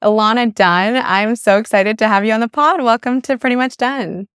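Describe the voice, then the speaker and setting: Upbeat, smooth-voiced woman host. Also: on the high side, normal speed, a bit bright, no echo, clean studio sound. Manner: upbeat, enthusiastic welcome. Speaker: podcast host. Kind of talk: interview hosting.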